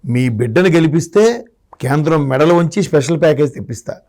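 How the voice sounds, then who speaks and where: A man's voice: on the high side, animated, fairly quick, darkish tone. A man giving political commentary in a discussion, arguing his points through rhetorical questions. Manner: argumentative, asks rhetorical questions.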